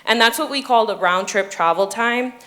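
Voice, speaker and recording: A woman's voice: a little high, normal speed, sharp tone. A woman in her twenties from the Bahamas, a doctoral student explaining her ocean research on a panel, earnest and with frequent ums. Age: 20s. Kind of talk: panel discussion.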